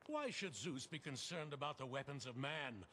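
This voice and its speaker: A man's voice: mid-range voice, lively intonation, normal speed, a bit bright, a little hiss or hum. An actor playing a deranged, grandiose tycoon who believes he is Zeus, speaking in bombastic, archaic theatrical language in a cartoon. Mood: imperious. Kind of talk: animated superhero series acting.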